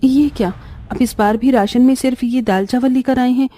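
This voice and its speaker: A woman's voice: high voice, steady tone, moderate pace, dark tone, no room sound, soft background music. A woman playing a poor, self-sacrificing young mother in a cartoon story, earnest and plaintive. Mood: reproachful. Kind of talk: Hindi cartoon story voice acting.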